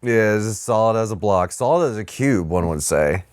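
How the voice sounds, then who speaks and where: A man's voice: lowish voice, animated, moderate pace, dry recording, studio quality. A man co-hosting a podcast, talking casually about movies in a loose, rambling way. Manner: rambling, heavy use of "like". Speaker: podcast co-host.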